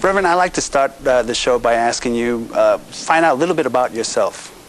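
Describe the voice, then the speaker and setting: A middle-aged man hosting a TV studio interview, asking halting questions and echoing his guest's words. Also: mid-range voice, animated, a little hiss or hum. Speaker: TV host.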